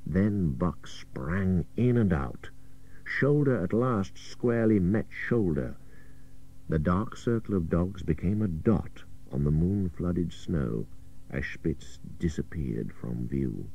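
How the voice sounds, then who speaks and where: A man's voice: sits low, lively intonation, slow, vocal fry, warm tone, breathy edge. A man reading an adventure story as a dramatic narrator, with a suspenseful, theatrical delivery. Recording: dull old radio cassette.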